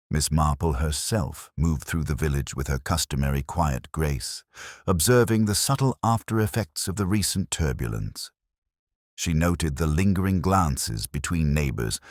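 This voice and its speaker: Very deep male mystery narrator. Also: no room sound, clean studio sound. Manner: measured, formal storytelling. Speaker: audiobook narrator. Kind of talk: scripted literary mystery narration.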